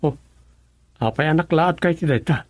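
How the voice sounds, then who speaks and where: A man's voice: fairly high pitch, normal speed, lightly acted. A man playing a patient, forgiving young farmer in an Ilocano radio drama, speaking earnestly and plainly.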